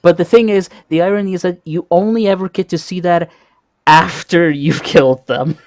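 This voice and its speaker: A man's voice: sits high, moderate pace, darkish tone, no room sound. Male podcast host, dry close sound. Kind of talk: casual interview chat.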